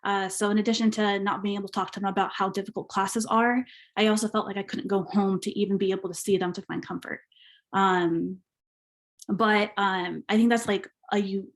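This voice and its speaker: A young adult panelist with a feminine-sounding voice of medium pitch, who grew up with Spanish as a first language. They talk personally and reflectively, pausing to search for the right words. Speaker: panelist, university graduate.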